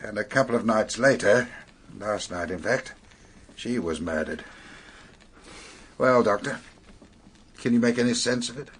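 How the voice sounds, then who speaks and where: An actor playing a sceptical, businesslike British police superintendent in a radio drama, questioning in a dry, measured way. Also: male voice, on the low side, a little hiss or hum, lightly acted.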